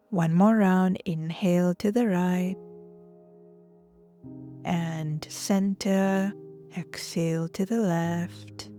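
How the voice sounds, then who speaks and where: A woman leading guided relaxation and breathwork as a yoga instructor, gentle and soothing, often trailing off into a breathy near-whisper. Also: fairly low pitch. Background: soft music.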